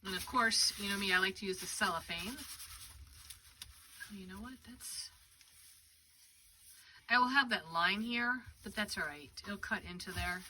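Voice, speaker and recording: A woman's voice: mid-range voice, pushed and bright. A congested woman chatting and thinking aloud as she demonstrates a craft project live. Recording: distant mic with background noise.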